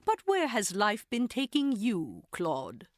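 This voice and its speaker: Unhurried woman hosting a mystery theater. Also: medium pitch, huge pitch swings, no room sound, clean studio sound. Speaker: host and announcer of an audio drama series. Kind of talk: scripted theatrical introduction.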